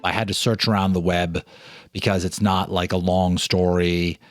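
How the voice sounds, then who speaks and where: A man hosting and narrating a ghost story in a deliberate, dramatic storytelling style with conversational ease. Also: low voice, little pitch movement, over loud music. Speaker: host and narrator reading a story. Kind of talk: dramatic ghost story reading with casual asides. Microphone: speaking to camera, reading off a teleprompter.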